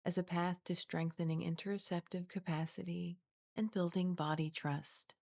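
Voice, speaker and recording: A woman guiding a body scan meditation in a gentle, soothing, unhurried way, leaving long pauses between scripted phrases. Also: on the low side, level tone, gravelly, dry recording. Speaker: guided meditation teacher.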